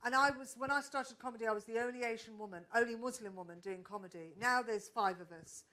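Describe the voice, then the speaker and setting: A woman's voice: a little high, quick pace, pushed and bright. Woman, stand-up comic, live panel guest, candid, reflective, restarts often. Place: stage at a live podcast event.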